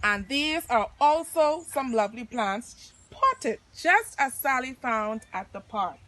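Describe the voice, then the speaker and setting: A woman hosting a story time outdoors, reading aloud and speaking directly to children in an expressive way. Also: fairly high pitch, slow pace, poor audio. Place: outdoors in nature. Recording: outdoor recording with clear birdsong noise. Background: chirping birds.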